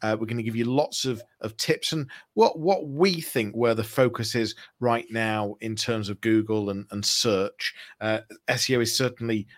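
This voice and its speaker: A man's voice: on the low side, normal speed, hardly any pauses. A man hosting a marketing podcast, presenting to listeners and interviewing a guest. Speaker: podcast host and digital marketer. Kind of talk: scripted intro and live interview hosting.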